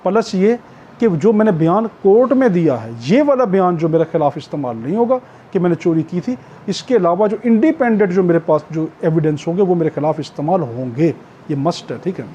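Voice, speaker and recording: A man's voice: high voice, expressive, fairly quick, dark tone, muffled recording, slight background noise. A man with a Pakistani accent teaching an exam-prep class as a lecturer, explaining emphatically with repetition and rhetorical questions. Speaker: law teacher.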